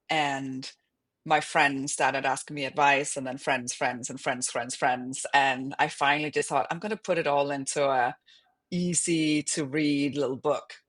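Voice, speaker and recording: A middle-aged woman from Denmark, a native Danish speaker, talking reflectively and informally as a guest in an interview. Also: sits low. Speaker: podcast guest, author and yoga teacher. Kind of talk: conversational interview talk.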